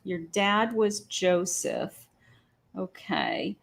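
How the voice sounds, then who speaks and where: Slow, hesitant woman giving psychic readings. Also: medium pitch, expressive, creaky. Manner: slow, hesitant, many ums, thinking aloud. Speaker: psychic medium host. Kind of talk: live-stream psychic reading, casual. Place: home patio.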